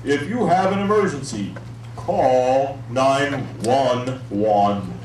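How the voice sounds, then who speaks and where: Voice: medium pitch, slow pace, darkish tone, lightly acted. A performer voicing an eager, chatty puppet in a live children's fire safety show, with exaggerated, emphatic delivery. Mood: instructive. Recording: roomy, distant, noisy live show recording.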